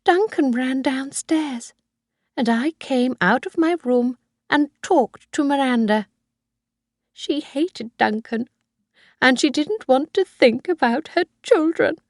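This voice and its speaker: A frail elderly mother, possessive and obsessed with her son, as a woman reader puts her on. She speaks high and pleading, clinging to every word. The recording is dry and close. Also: animated, slow, a little mellow, no echo, exaggerated voice. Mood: confessing, fervent.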